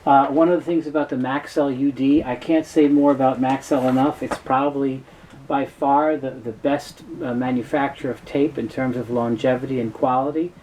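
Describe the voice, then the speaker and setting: Mellow-voiced man explaining, with background noise. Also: medium pitch, steady tone, normal speed, poor audio. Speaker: product presenter. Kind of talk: informal explainer talk about audio gear.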